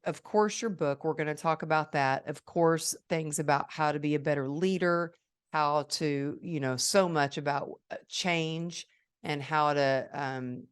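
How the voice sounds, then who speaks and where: A woman's voice: deep voice, smooth. A woman hosting a podcast, full of gushing praise for her guest and leaning on fillers as she frames her questions. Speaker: podcast host. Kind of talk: interview questions.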